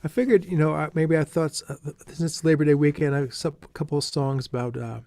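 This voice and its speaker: An American man from New Jersey, a folk singer-songwriter, introducing songs casually in a halting, self-effacing, understated way. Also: on the high side, dark tone, no room sound. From New Jersey, United States. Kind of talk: casual song introductions between live songs. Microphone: stage microphone. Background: acoustic guitar.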